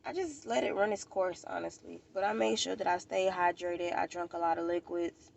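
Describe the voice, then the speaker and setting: An American woman with a fairly low voice that often drops into creaky fry. She sounds stuffed up in the nose and talks informally to camera as a vlogger, rambling along and circling back over her own words. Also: moderate pace, darkish tone. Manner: informal, rambling, repeats herself. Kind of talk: casual chat to camera. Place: outdoors at a park.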